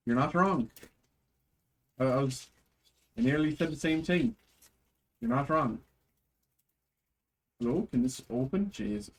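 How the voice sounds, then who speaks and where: A man hosting a live card break in a put-on Irish accent, rattling off card names from each pack. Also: mid-range voice.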